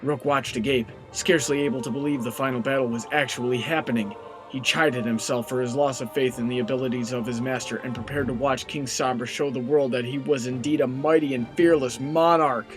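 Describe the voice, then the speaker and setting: A man's voice: mid-range voice, steady tone, brisk, over music. A man narrating a fan fiction story in a scripted storybook style.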